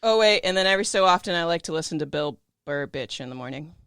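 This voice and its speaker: A man's voice: a little high, expressive, fast talker, pushed and bright, clear, resonant tone, dry recording, studio quality. A man chatting casually and informally on a podcast, swearing freely. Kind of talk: casual chat.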